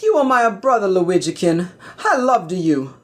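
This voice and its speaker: A man voicing a high-pitched, heroic, anguished Mario-style Jedi master in a parody audio play. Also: sing-song, measured pace.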